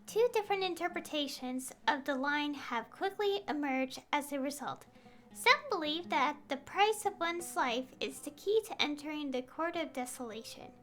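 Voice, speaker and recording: A woman voicing an earnest, composed young traveler in an anime-style video game, speaking in a measured, articulate way. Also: high-pitched. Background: soft game music.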